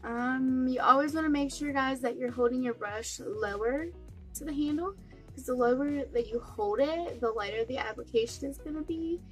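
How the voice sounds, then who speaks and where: A woman's voice: high-pitched, moderate pace, faint music behind. A young woman with a cold and a stuffy nose, chatting through a makeup tutorial step by step. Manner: chatty, friendly step-by-step explaining. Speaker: beauty vlogger.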